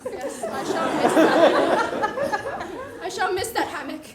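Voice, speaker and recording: A woman's voice: very high voice, very animated, slow pace, over a noisy crowd, full character voice. A young woman on stage playing a melodramatic society lady in a murder farce, speaking in an arch, declamatory way. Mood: grand confession. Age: young adult. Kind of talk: comedic student stage acting. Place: theatre stage. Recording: echoey stage, audience, faint noise.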